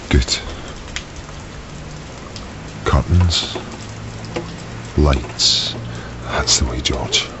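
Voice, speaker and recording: A cold gunman and kidnapper speaks very low and slowly, giving terse, controlled commands. He is a menacing radio drama villain, heard through a muffled recording with clear noise behind him. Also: full character voice. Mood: tense focus.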